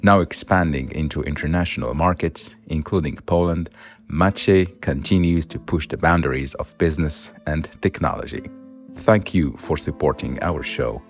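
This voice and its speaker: A man's voice: a bass voice, moderate pace, faint music behind. A man hosting a podcast, reading scripted introductions and promotional copy. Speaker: podcast host. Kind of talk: scripted intro and ad read, interview questions.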